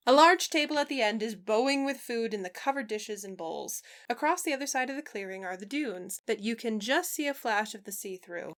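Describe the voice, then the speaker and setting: A woman's voice: fairly high pitch, a bit bright. A woman reading fan fiction aloud as a podfic voice actor, narrating and acting out dialogue.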